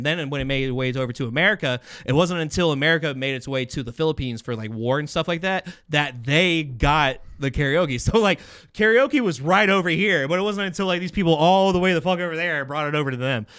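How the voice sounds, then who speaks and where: A man hosting a livestream game show in a studio with casual, slangy, excitable banter. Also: on the high side, smooth, no room sound. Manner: animated, slangy, often shouting in excitement. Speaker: show host.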